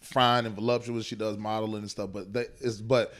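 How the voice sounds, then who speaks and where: A man's voice: fairly low pitch, little pitch movement, a little fast, a bit bright. A man in his late thirties, a comedian chatting casually on a podcast and telling loose, slangy stories full of asides. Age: 30s. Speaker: guest comedian.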